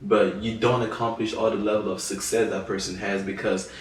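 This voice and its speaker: A man's voice: on the low side, little pitch movement, brisk, slight background noise. A man from Cameroon, a French speaker, co-hosting a casual discussion in an earnest, thoughtful way. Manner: earnest, thoughtful, with many you-knows and restarts. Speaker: podcast co-host. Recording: echoey room, far from mic.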